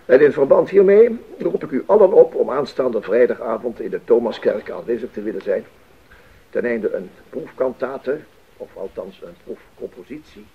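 A man playing a formal, deliberate rector chairing a council in a radio play, speaking in a measured way. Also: mid-range voice, expressive, husky, narrow, tinny audio. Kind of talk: 1980s Dutch radio play acting.

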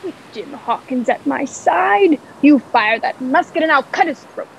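A woman's voice: high voice, animated, a little slow, creaky, a little hiss or hum, full character voice. A woman playing a wily, menacing male pirate ringleader in a radio play, with mock-courteous, colloquial pirate speech. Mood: commanding, threatening. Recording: dull, muffled home recording.

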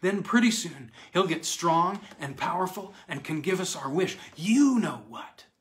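A man's voice: sits high, animated, measured pace, pitched above the performer's own voice. A man voicing a fierce, secretive little girl. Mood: fierce whisper. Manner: whispery, pleading, tearful at times.

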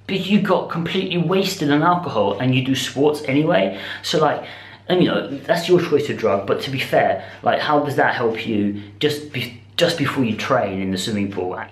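A British man in his twenties talking casually to camera in a rambling, slangy vlog style, speaking in animated bursts. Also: medium pitch, normal speed. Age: 20s. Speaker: vlogger. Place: small indoor room with bare walls. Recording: echoey, roomy sound.

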